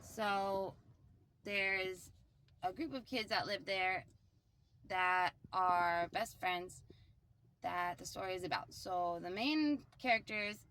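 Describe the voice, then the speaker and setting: Bright-voiced woman rambling casually. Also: mid-range voice, slow. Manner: rambling, informal, frequent "like". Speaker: storyteller. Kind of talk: casual improvised storytelling outdoors.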